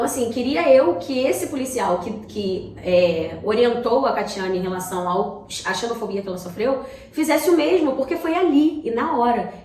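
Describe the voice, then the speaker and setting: A Brazilian woman co-hosting a casual talk, sharing personal experience in a halting way with repeated words. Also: fairly high pitch, a little mellow. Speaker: talk show co-host. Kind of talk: casual conversation, personal anecdotes. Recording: echoey room.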